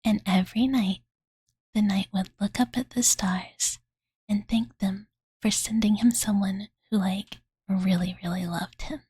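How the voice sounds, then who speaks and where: A woman voicing an affectionate young dragon girl in a soft, breathy ASMR whisper, close to the mic, with a casual, cutesy way of talking. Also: medium pitch, steady tone, a little slow. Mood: cozy, dreamy. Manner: cozy, cutesy, casual, filler-laden, doting. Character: affectionate, possessive young dragon girl telling bedtime story. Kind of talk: ASMR roleplay. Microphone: close studio condenser mic.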